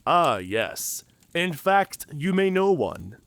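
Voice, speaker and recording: A man's voice: fairly high pitch, sing-song, takes it slowly, fairly bright tone, dry recording, exaggerated voice. A man playing a wounded, wry, talkative werewolf in human form, theatrical and bantering, in an audio drama. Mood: amused, teasing. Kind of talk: cinematic audio roleplay acting.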